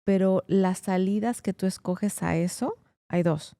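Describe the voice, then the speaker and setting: A woman lawyer hosts a casual interview and keeps the talk moving. She sounds chatty and encouraging, with a mellow tone. The voice is recorded close and dry. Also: mid-range voice, measured pace, dry recording. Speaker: host, immigration lawyer.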